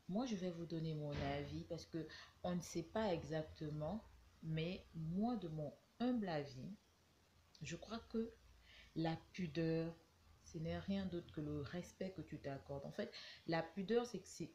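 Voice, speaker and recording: Slow, low-voiced woman with a cold. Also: mellow, lo-fi sound, a little hiss or hum. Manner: slow, reflective, with hesitations and repeated words. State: has a cold. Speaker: vlogger giving advice. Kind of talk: casual talk to camera.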